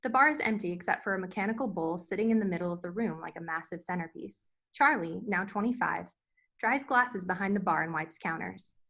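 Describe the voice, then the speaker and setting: A woman's voice: on the low side, fairly quick, creaky, a bit bright. A woman narrator reading screenplay scene directions as scripted narration.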